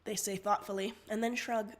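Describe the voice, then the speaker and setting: A woman's voice: medium pitch, fairly even intonation, normal speed. A woman co-hosting a livestream, chatting casually and reading fan fiction aloud. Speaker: livestream co-host and story reader.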